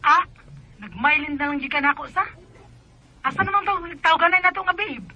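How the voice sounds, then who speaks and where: A woman's voice: sits high, expressive, a little fast, highs cut off. A woman acting a curt, evasive mother and scheming wife in a Filipino radio drama, with a Bisaya accent. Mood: testy. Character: evasive, scheming mother, unfaithful wife planning to work abroad.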